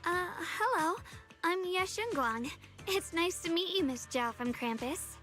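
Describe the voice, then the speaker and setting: A woman's voice: very high voice, expressive, a little slow, music in the background. A woman voicing an earnest, modest young swordswoman in a video game, polite, hesitant and easily flustered. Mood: shy, flustered.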